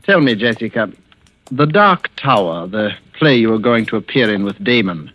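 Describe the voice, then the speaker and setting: An actor playing a smooth, scheming husband who speaks with coaxing, patronizing control, in 1940s radio style. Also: male voice, lowish voice, lively intonation, normal speed, fairly bright tone, no room sound. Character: smooth, scheming husband with quiet control over his wife. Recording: muffled, dull old radio recording.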